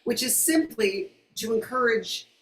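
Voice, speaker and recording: A hoarse woman as a musical guest, chatting in an apologetic, chatty way before singing comic political songs on a video call. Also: fairly high pitch, animated, a little slow, far from the mic. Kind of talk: comic political song and chat. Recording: roomy home mic with music.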